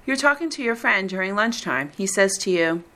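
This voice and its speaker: A woman reading the script of a recorded listening exam in a slow, deliberate, evenly paced way. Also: medium pitch, animated, fairly bright tone. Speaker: exam reader.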